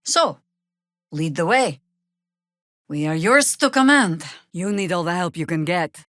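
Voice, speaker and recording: A woman playing a seasoned, wry, world-weary warrior druid in a video game, speaking in a dry, sardonic, measured way. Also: fairly low pitch, lively intonation, a bit bright, no room sound. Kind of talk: dramatic video game character dialogue.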